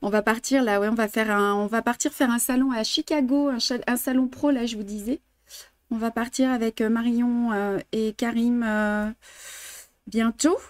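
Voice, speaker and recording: A woman's voice: on the high side, fairly even intonation, moderate pace, no echo. A woman in her fifties from France with a French accent, teaching embroidery live in a chatty way, laughing often and slipping into English and Spanish. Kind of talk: live-streamed stitching lesson. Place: indoor studio, blue backdrop.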